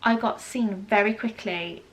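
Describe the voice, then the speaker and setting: A woman's voice: medium pitch, measured pace, poor audio. A British woman in her thirties with a cold, chatting casually to camera and telling a story with lots of ums and asides. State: congested with a cold. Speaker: vlogger.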